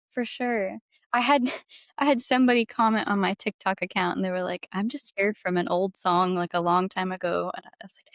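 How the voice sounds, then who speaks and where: A woman's voice: a little high, fairly bright tone, no echo. Enthusiastic woman chatting casually, very dry sound. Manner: enthusiastic, informal. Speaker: podcast guest, singer-songwriter. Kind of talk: casual chat.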